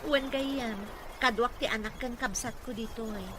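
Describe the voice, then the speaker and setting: A woman's voice: a little high, moderate pace, background noise, close to an everyday voice. A woman playing a devoted, respectful therapist to a rich boss in an Ilocano radio drama. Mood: friendly.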